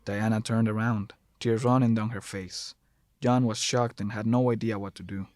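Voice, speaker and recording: Low-voiced man narrating an audio drama. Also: fairly even intonation, moderate pace, a little mellow, dry recording, clean studio sound. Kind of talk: scripted dramatic story narration.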